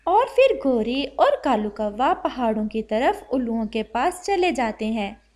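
A woman narrator reads a scripted story for children. Her voice is very high, and her intonation rises and falls in a highly animated way. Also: a little slow.

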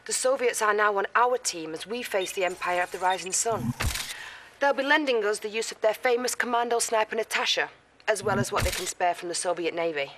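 A woman's voice: medium pitch, brisk, close to an everyday voice. A young woman playing a poised military lieutenant, giving an earnest mission briefing in a noisy game mix. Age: young adult.

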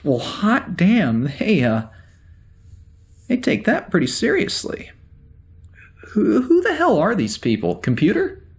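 A man playing a wry, easily exasperated security officer in a sci-fi audio drama, talking in a halting, conversational way. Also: high voice, huge pitch swings, creaky, exaggerated voice.

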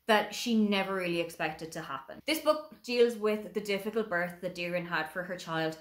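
A woman's voice: mid-range voice, normal speed. A woman in her thirties with an Irish accent, earnestly recommending books to camera from a room at home. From Ireland. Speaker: book reviewer. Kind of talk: casual book review to camera. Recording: roomy home recording.

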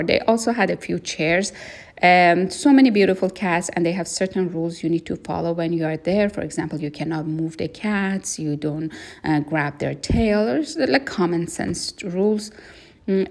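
A woman giving a casual spoken travel review, unhurried and plain, with frequent fillers. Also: on the low side, darkish tone. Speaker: travel vlogger.

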